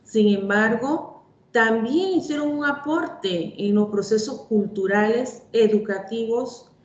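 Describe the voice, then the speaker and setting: Slow, measured Panamanian woman, echoey. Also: on the high side. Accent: Panamanian Spanish. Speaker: panel speaker. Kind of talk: formal panel talk. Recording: echoey, dull lo-fi sound.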